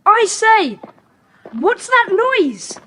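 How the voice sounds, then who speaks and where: A keen young schoolboy with a very high, lively voice. The delivery is chirpy and excitable, as in a children's audio adventure, over faint tape hiss. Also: female voice, extremely slow, heavily acted. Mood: anxious. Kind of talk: children's audiobook drama acting. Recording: faintly hissy cassette tape.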